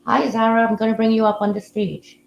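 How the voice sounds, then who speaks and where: A woman hosting a casual live audio room, talking in a relaxed, musing way with frequent fillers. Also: on the high side, brisk, creaky, reverberant, highs cut off, a little hiss or hum. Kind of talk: casual live-stream chat.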